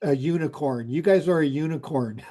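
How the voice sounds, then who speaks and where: A man presenting water rates to a council over a video call, halting and full of restarts and fillers. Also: fairly high pitch, mellow. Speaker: utility rate consultant. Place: remote, joining by video call.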